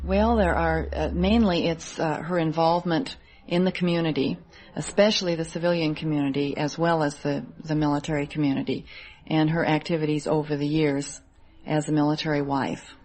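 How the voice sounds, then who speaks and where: A woman's voice: deep voice, steady tone, fairly quick, a little mellow. A woman in her thirties with an American accent, giving conversational answers as an interview guest. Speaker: interview guest, Army wife.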